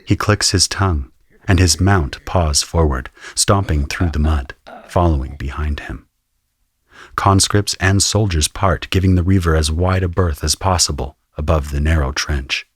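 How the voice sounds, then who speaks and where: A man's voice: a bass voice, normal speed, husky, no echo, clean studio sound. A man delivering scripted first-person narration for a dramatic audio story.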